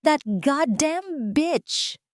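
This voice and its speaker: A woman voicing an arrogant, hot-tempered wealthy husband in an audio romance story, with a Filipino accent and a forceful, demanding manner. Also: high-pitched, expressive, extremely slow, dry recording, studio quality, voice pushed up, heavily acted. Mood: seething.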